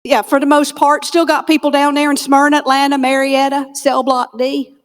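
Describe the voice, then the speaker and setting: A woman with a Southern US accent, from Georgia, gives a humorous motivational keynote on a stage mic, telling stories with dramatic pauses and near-whispered punchlines. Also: high voice, flat delivery. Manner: dramatic storyteller, drops to a near whisper on key lines, comic asides. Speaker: motivational keynote speaker and comedian. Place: conference hall stage. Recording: long reverb of a large hall.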